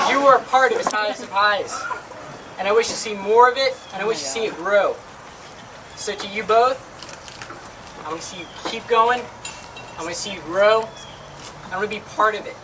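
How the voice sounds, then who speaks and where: A woman's voice: mid-range voice, animated. A woman guest giving an earnest, heartfelt wedding toast at a reception. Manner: warm-hearted, earnest, fast. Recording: distant mic with loud noise.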